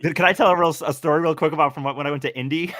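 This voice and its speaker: A man co-hosting a podcast, telling stories casually in a loud, animated way. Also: a little high, rapid-fire, no echo, clean studio sound. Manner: loud-voiced, animated storyteller.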